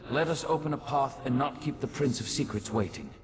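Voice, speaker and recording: A man's voice: mid-range voice, brisk, background noise, natural voice. A man playing a solemn, commanding mage in a fantasy video game, formal and portentous. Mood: grave command. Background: music.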